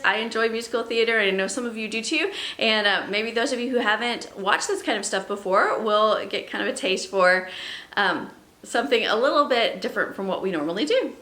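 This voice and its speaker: A woman's voice: medium pitch, bright, forceful tone, reverberant. A woman who sings soprano, teaching a music lesson to students at home and explaining patiently, with fillers and little laughs. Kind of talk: remote classroom lesson.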